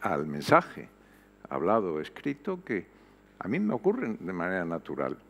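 An older man with a low voice that rises and falls widely as he presses his points. He talks reflectively on a panel, pausing and restarting, into a headset mic on a stage before an audience. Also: huge pitch swings, vocal fry. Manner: reflective, emphatic, frequent hesitations. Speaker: panel guest. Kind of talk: panel discussion.

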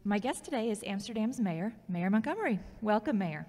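Radio interviewer, acted by a woman, mid pitch, polite, businesslike, audio drama, slight hall reverb. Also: expressive, fairly quick, a little mellow. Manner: polite, formal.

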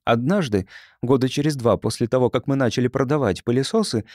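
A man narrating fiction as an audiobook reader, in an expressive storytelling style. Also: medium pitch, fairly quick, no room sound, studio quality.

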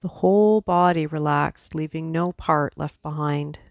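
A woman teaching yoga guides a meditation softly and soothingly, leaving long pauses between her instructions. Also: deep voice, dry recording, dull audio.

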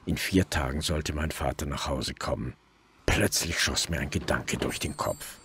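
An actor playing a weary, gruff middle-aged male detective who narrates his own story in a radio crime drama. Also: extremely low pitch, normal speed, fairly bright tone, slight background noise. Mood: brooding.

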